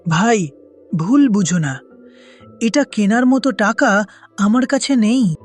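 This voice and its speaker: A woman's voice: mid-range voice, expressive, slow, faint music behind. A woman voicing a poor, humble village beggar in a cartoon fairy tale, with a wistful manner. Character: poor, humble village beggar longing for rich folks' comforts.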